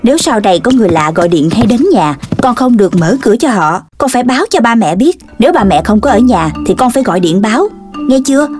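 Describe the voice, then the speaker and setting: A woman's voice: on the high side, expressive, quick pace, a little mellow, soft background music, close to an everyday voice. A woman with a southern Vietnamese accent voicing a strict, motherly cartoon dog who admonishes her puppy. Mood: stern. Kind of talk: children's cartoon dubbing.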